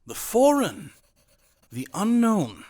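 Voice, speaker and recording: A man's voice: high-pitched, huge pitch swings, slow pace, warm tone, exaggerated voice. An actor playing a self-assured, theatrical male theater director in an audio drama. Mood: brash. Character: brash confident male theater director, grandiose.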